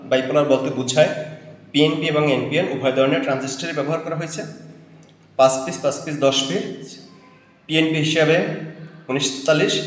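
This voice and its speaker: A man with a Bangladeshi accent presents and explains equipment, deliberately itemizing in short phrases. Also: on the high side. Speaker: presenter demonstrating electronic equipment. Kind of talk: product demonstration, explanatory. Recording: very echoey, long room reverb.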